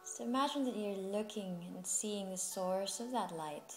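A woman leading a guided meditation as a yoga teacher, speaking in a soothing, unhurried way with long pauses. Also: mid-range voice, low-quality recording. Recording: music playing behind the voice. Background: music.

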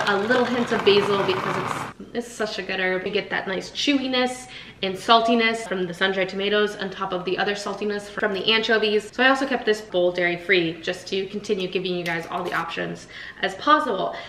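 A woman's voice: mid-range voice, steady tone, fairly quick, fairly bright tone, slight background noise. Chatty young woman in an echoey kitchen. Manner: chatty, enthusiastic, lots of "like" and "so many". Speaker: cooking channel host. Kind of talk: casual cooking tutorial. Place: home kitchen, indoors.

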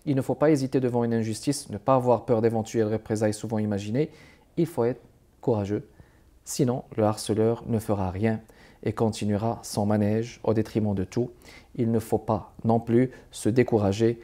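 A middle-aged man hosting an arts interview show in a TV studio, speaking in a formal, measured presenter manner. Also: on the low side, fairly even intonation, mellow. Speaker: TV host.

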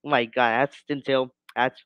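A man's voice: mid-range voice, little pitch movement, moderate pace, fairly bright tone, clear, resonant tone, no echo, close to an everyday voice. A man playing an exasperated, easily annoyed friend who complains, in a comedy skit. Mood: frustrated.

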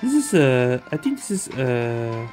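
A woman streamer chats casually over her gameplay. Her pitch is extremely low for a woman, yet lively, and her pace is unhurried. Game music sounds clearly in the back. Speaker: gaming streamer.

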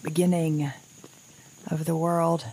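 An anxious middle-aged mother speaks in a lowish voice in an audio drama. She is halting and wry, slowly rambling and interrupting herself as she survives alone at the world's end, with noisy ambience around her. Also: level tone. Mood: wry, musing.

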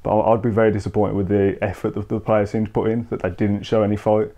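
A man's voice: lowish voice, little pitch movement, quick pace, mellow. A young man, talking through a football match in casual chat on a video call. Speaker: football podcast co-host. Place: home room, indoors. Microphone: video call with earphone mic.

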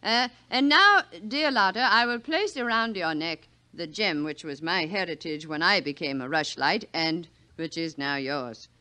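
An actress playing an elderly, commanding family matriarch with a formal, grand manner in 1940s radio style. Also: female voice, lowish voice, measured pace, few pauses, bright, forceful tone, smooth. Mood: stately, commanding.

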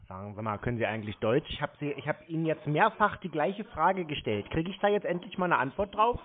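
A man's voice: on the high side, expressive, fast. A man arguing over the phone in a blunt, insistent, scolding way. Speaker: scam-baiting caller. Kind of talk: confrontational phone argument. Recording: tinny narrow phone line.

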